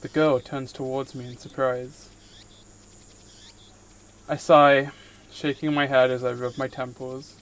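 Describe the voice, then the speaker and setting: A man's voice: medium pitch, little pitch movement, slow pace, pauses often, noisy background. A man live streaming, reading visual novel narration aloud and chatting casually with viewers in between. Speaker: streamer, VTuber host.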